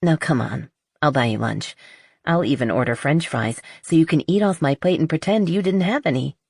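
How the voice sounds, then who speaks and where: A woman voicing a blunt, honest, skeptical but loyal best friend in an audiobook, with a dry teasing manner and husky voice. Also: sits low, lively intonation, moderate pace, darkish tone, no echo, heavily acted.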